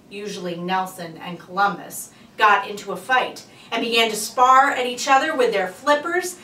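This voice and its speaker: A woman reading a children's storybook aloud like a librarian, with deliberate phrasing and emphasized words. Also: a little high, sharp tone. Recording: roomy echo, distant mic, faint noise.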